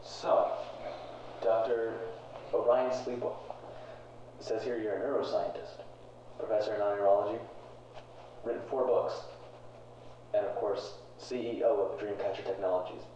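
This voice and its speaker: A male actor as a skeptical interviewer, dry and halting, in a found-footage horror film. His lowish voice sits far off in a very echoey room on dull tape. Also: fairly even intonation, mellow, natural voice. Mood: dry, probing. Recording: echoey, distant, dull hissy 1980s tape.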